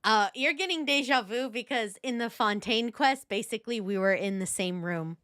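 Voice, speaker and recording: A woman's voice: on the high side, normal speed, fairly bright tone, clear, resonant tone, dry recording, studio quality. A woman game streamer giving casual, playful, gushing live reaction commentary.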